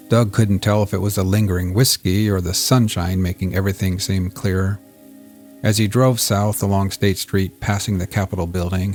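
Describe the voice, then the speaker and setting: A man reading scripted crime fiction in a measured, audiobook-style storytelling delivery. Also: sits low, a little mellow. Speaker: narrator of a serialized crime story. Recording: music bed under the voice. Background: music.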